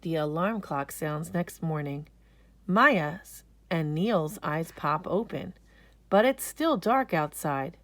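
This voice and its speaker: A woman narrating a children's storybook aloud, expressive and explaining things for young listeners. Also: low-pitched, slow, no echo.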